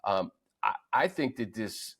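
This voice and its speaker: A man's voice: lowish voice, animated, a little slow, gravelly, a bit bright, breathy edge. An American man hosting a sports show with casual, conversational football commentary. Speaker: sports show host and analyst.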